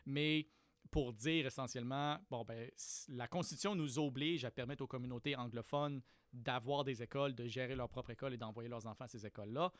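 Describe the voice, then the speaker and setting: A man from Quebec City with a Quebec French accent, a podcast guest explaining things in a thoughtful, measured way with frequent restarts. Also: medium pitch, steady tone, dry recording. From Quebec City, Canada. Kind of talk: explanatory conversational interview.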